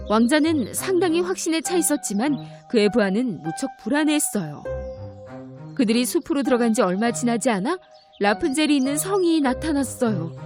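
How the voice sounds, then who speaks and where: A woman narrating a scripted fairy tale for children as a storyteller. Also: high-pitched. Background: music.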